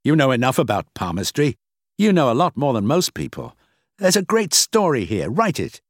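A man voicing a keen, persuasive newspaper boss in a story, brisk, encouraging and insistent. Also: mid-range voice, wildly swooping pitch, no echo, clean studio sound, voice pushed up. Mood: insistent, enthusiastic.

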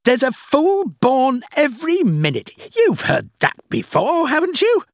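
An arrogant, impatient businessman fortune teller from an audiobook, acted by a man. He blusters scornfully in a raised voice that swings hugely in pitch, recorded dry and close. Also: very animated, drawn-out words, vocal fry, a bit bright, husky, no room sound, exaggerated voice. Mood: scornful, gloating. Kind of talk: audiobook character acting.

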